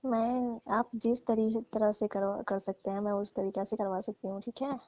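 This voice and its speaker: A woman's voice: medium pitch, steady tone, moderate pace, dark tone, lightly acted. A woman playing a submissive village housemaid in a staged phone-call skit, pleading deferentially. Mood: submissive, anxious. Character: pleading village housemaid, submissive, anxious to keep her job. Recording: dull lo-fi phone-call recording.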